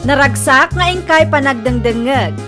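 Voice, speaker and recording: A woman with an Ilocano Filipino accent announcing a radio drama programme in a drawn-out, presentational, scripted style. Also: high voice, music in the background.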